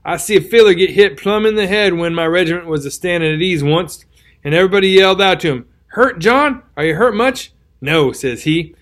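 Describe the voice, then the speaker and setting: Guy putting on a hurt old-timey country soldier, drawl, folksy and slurring, higher than his own voice. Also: fast talker, close to an everyday voice. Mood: warning. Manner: folksy, rambling, garrulous. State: weak from a wound, slurring. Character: wounded, rambling, kindly rural soldier man. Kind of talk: audiobook character reading.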